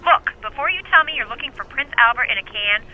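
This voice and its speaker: A woman's voice: on the high side, lively intonation, fast, lo-fi sound, slight background noise, close to an everyday voice. A woman playing a suspicious, curt store clerk with a sardonic edge in an audio drama. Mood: suspicious, annoyed. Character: brisk female store clerk, suspicious and snappy. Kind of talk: radio drama acting.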